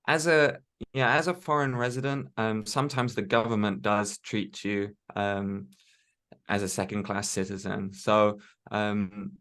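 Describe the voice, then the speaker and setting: Interview guest: reflective man, low-pitched and even, pauses often to search for words. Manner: hesitant, many fillers and restarts. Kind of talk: casual interview chat. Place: home in Tokyo.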